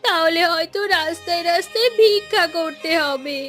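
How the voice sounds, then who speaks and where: A woman playing an anxious, pleading village wife and mother in an animated fairy tale. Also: very high voice, normal speed, faint music behind. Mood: remorseful pleading.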